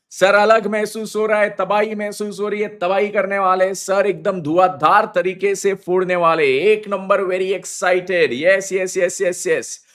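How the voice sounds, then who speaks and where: An accounting teacher with a hoarse, sore throat, hyping his students in a live online class and mixing English into his Hindi. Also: sits high, a bit bright. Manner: hypes students with slang, mixes English into Hindi. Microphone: phone on mobile data, live stream.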